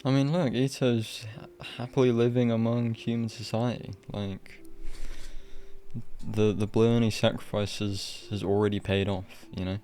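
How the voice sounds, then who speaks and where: A man's voice: on the low side, level tone, moderate pace, dark tone. A man game streamer giving casual, colloquial, sweary reaction commentary.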